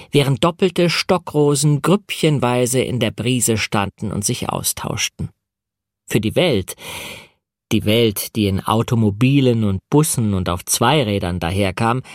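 A man narrates literary prose for an audiobook in a close, dry recording. His phrasing is measured and deliberate, with pauses that set words apart, at a middling pitch. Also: dry recording.

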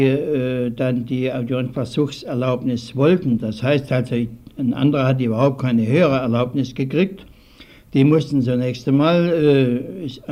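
An older man giving recollections in an interview, speaking haltingly and deliberately with old-fashioned formal phrasing. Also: mid-range voice, dark tone. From southwestern Germany. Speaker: interviewee, early radio hobbyist. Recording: 1950s archival radio recording.

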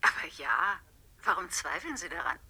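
An actress playing a chatty, self-assured aunt in a German radio play, talking in an animated, brisk manner. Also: female voice, lowish voice, vocal fry, slightly rough, heavily acted. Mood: brisk, certain.

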